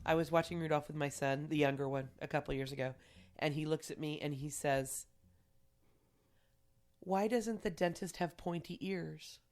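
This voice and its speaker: A woman's voice: low-pitched, normal speed, a little mellow. A woman in her forties chatting and teasing enthusiastically as a podcast co-host among friends. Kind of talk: casual comic group chat.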